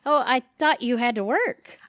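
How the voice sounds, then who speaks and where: A woman's voice: a little high, lively intonation, moderate pace, a bit bright, dry recording, studio quality. A woman acting as a harried but polite housewife hosting dinner in an audio comedy. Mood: polite surprise.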